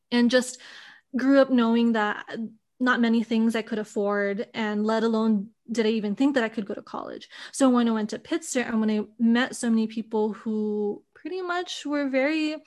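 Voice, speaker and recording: Brief: young woman from Hawaii, slightly high voice, reflective interviewee, chatty fillers. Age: young adult. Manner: thoughtful, many fillers like "like" and "you know". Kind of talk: reflective personal storytelling.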